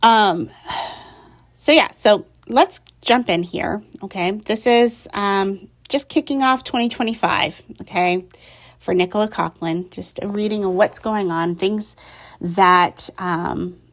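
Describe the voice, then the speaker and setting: A woman's voice: medium pitch, slow pace. A woman with the sniffles giving a casual tarot reading, blunt and emphatic, who keeps asking "okay?" and swears offhandedly. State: has the sniffles. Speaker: tarot reader.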